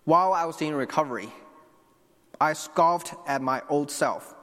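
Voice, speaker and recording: A man's voice: fairly high pitch, measured pace, a bit bright, big-room sound. A young man from China, preaching a sermon earnestly and speaking directly to his congregation. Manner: earnest, with restarts and asides to the congregation.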